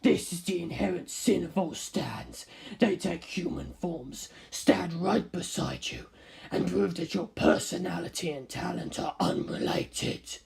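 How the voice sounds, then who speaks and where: Gravelly, arrogant ancient villain. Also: a little mellow. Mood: contemptuous. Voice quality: very creaky, gravelly low rasp, breathy. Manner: slow, scornful, lecturing. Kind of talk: dramatic audiobook character acting.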